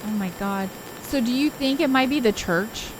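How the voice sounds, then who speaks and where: A woman's voice: fairly high pitch, moderate pace, noisy background. A woman streaming a visual novel, reading it aloud in a casual voice and chatting with informal, giggly asides. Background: game soundtrack music.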